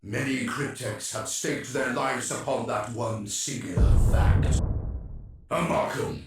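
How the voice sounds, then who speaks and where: A man's voice: medium pitch, slow, very noisy. A man voicing an imperious, ancient machine pharaoh in a video game, stately, formal and cold. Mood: imperious, grave. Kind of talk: dramatic video game cutscene acting. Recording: heavy cavernous echo, distant, under music.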